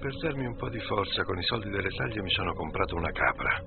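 An actor playing a weary, bitter bounty hunter in an Italian radio drama, terse and downcast. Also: male voice, fairly low pitch, lively intonation, fast talker, vocal fry. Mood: tired, dejected. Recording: muffled phone-like audio, music behind.

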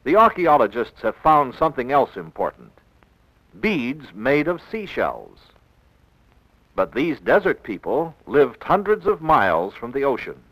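An American man narrating a 1960s educational film in a measured, didactic, clearly enunciated way, on an old film soundtrack. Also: mid-range voice, big pitch swings, a bit bright, no room sound, low-quality recording.

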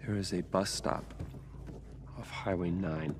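A man playing a weary, guilt-ridden middle-aged scientist in a video game, speaking in a subdued, halting way. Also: sits low, fairly even intonation. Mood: subdued, resigned. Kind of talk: video game cutscene acting. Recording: clear ambient noise behind voice.

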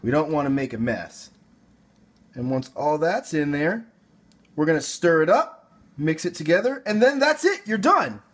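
A man's voice: on the high side, big pitch swings, normal speed, fairly bright tone, slightly rough. A young man with an American accent casually explaining a recipe as a cooking show host, playful and slangy. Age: young adult. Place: home kitchen and grocery store, indoors.

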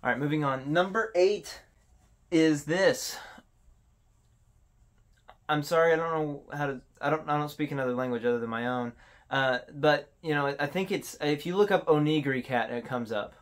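A man's voice: fairly high pitch, moderate pace. A man hosting a countdown in casual commentary, with an informal, self-deprecating way of talking. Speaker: host of a horror video countdown.

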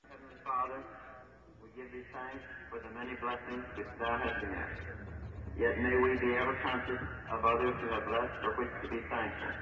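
A man giving a solemn, formal public invocation over loudspeakers in a large venue. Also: mid-range voice, level tone, brisk, highs cut off. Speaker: person leading public prayer. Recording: distant, echoey stadium PA, noisy old radio.

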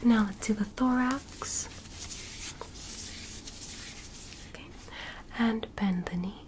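A woman whispering as a gentle, curious alien doctor in a soft, soothing ASMR medical roleplay. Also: a little high, slight background noise, lightly acted, low-quality recording. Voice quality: breathy unvoiced whisper. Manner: soft, slow, soothing reassurance with clinical instructions. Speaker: ASMR performer.

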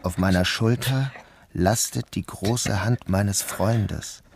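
An anxious, guilt-ridden man who narrates his own story in a radio play. His voice is on the low side, measured and deliberate, with a literary, dramatic delivery. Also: creaky, a little mellow, a little hiss or hum. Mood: oppressed, introspective. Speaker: narrator and lead actor.

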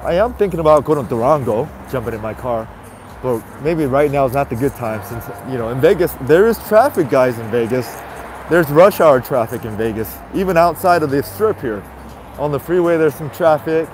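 A man's voice: medium pitch, animated, normal speed, a little mellow, a little hiss or hum. A man with a stuffy nose chatting casually to viewers on a live-stream while walking a city sidewalk at night, filmed on a handheld phone. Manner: chatty, repeats greetings, talks to viewers. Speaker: vlogger. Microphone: handheld phone camera.

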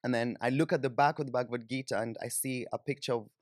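A man's voice: mid-range voice, fairly even intonation, fast talker, no room sound, studio quality. A young man with a Kenyan accent telling personal stories conversationally and informally, with lots of "like" and "you know". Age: young adult. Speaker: podcast guest. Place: small indoor podcast studio, on a sofa. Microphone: close studio microphone on boom arm.

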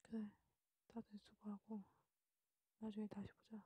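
A woman voicing a cautious, scheming vampire in a hushed, breathy ASMR whisper, unhurried and conspiratorial. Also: medium pitch, completely flat delivery, no echo. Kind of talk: whispered ASMR storytelling role-play.

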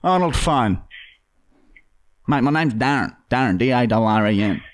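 A man's voice: mid-range voice, lively intonation, moderate pace, close to an everyday voice. Man playing a blunt, matey broad Aussie bloke. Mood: easygoing. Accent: broad Australian. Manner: slangy, matey, rambling. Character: blunt, sweary, easygoing Aussie bloke named Darren. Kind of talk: prank call character comedy. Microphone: close boom-arm podcast microphone.

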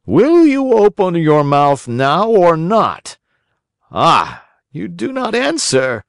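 A menacing assassin, acted out by a male storyteller, brutal and impatient. He growls his threats in a drawn-out, raised voice, like a storybook villain. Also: a little high, wildly swooping pitch, gravelly, dry recording, studio quality, voice pushed up, heavily acted. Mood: threatening.

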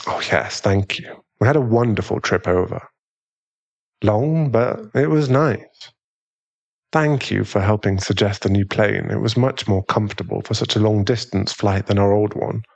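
A man acts out an aristocratic British werewolf fiancé for an ASMR audio drama. The voice is a fairly low male one, affectionate and polite, with a gentle, unhurried delivery. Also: gravelly. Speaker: ASMR boyfriend voice actor. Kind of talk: ASMR boyfriend audio roleplay.

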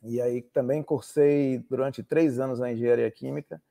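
Um homem na casa dos cinquenta, de Salvador, com sotaque baiano, contando sua trajetória numa entrevista por videochamada, com pausas e repetições. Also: male voice, medium pitch, steady tone, vocal fry, mellow. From Salvador, Bahia, Brazil. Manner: hesitant, repeats words while recalling. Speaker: interviewee, oceanographer. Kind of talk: relaxed storytelling interview. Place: home room with shelves. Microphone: video call.

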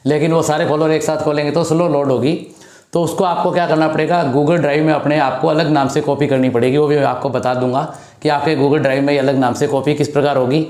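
Slightly hoarse man teaching in an echoey room. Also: on the high side, level tone, fast talker, a little mellow. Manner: explains step by step, repeats key numbers. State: slightly hoarse from a throat infection. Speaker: stock market educator. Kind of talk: blackboard lesson and screen walkthrough. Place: indoor room with a blackboard.

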